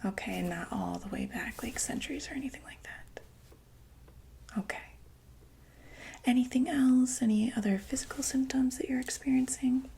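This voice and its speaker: A woman playing a calm doctor for monsters, asking gentle, patient questions in a soft unvoiced whisper for an ASMR roleplay. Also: sits high, normal speed, gravelly, pauses often, slight background noise.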